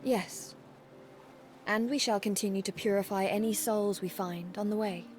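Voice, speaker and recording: Music plays clearly behind a young female warrior of the gods, voiced by a woman. She is solemn and earnest, with a medium-pitched voice and formal, stern diction, as in a fantasy game cutscene. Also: steady tone, normal speed, a little mellow, close to an everyday voice. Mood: solemn resolve. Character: solemn, dutiful young female Valkyrie warrior serving a god. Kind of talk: video game voice acting. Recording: music under the voice.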